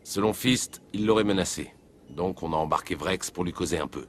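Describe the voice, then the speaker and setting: A determined alien officer from a video game, voiced by a man, speaks confidently in a low-pitched voice. The delivery is matter-of-fact, with faint ambient noise behind it. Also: moderate pace, lightly acted. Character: keen, determined male alien Turian police officer.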